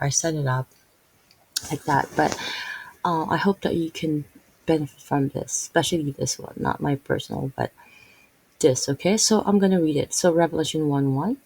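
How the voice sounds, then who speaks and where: Filipino woman with a gravelly, husky voice. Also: deep voice, darkish tone, poor audio. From Philippines. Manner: rambling, many fillers and self-corrections. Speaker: Bible video host. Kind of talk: casual Bible reading and explanation.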